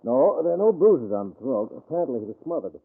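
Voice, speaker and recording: An actor playing a busy, brisk police doctor, formal and clinical, in an old-time radio crime drama. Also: male voice, medium pitch, big pitch swings, creaky. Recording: muffled, narrow-band vintage radio recording.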